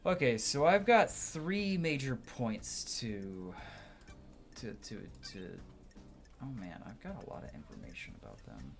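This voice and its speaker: Guy doing a lets play, slangy, thinking out loud, average pitch, bit of game music under it. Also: sing-song, unhurried. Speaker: gaming video host. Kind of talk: casual playthrough commentary.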